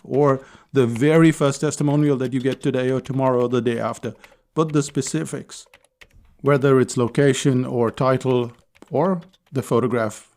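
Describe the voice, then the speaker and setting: Hoarse, gravelly-voiced man hosting a podcast. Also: mid-range voice, dark tone. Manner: chatty, persuasive, with small laughs. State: hoarse, losing his voice. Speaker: podcast host. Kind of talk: casual solo podcast tips.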